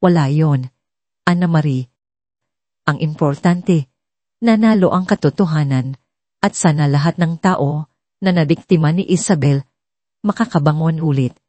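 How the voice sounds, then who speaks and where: Woman reading as earnest billionaire father, mellow and low. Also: unhurried, pauses often, no room sound. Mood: gracious. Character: earnest widowed billionaire father, humbled and loving.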